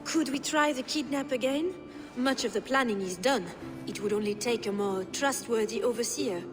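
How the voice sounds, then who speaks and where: A woman's voice: a little high, moderate pace, lightly acted. An actress playing a poised, bitter noblewoman in a video game, speaking in arch, dry, elegant phrases. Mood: brisk, scheming. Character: poised, bitter Frankish noblewoman, unhappy wife. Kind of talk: video game cutscene acting. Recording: long hall reverb, prominent music.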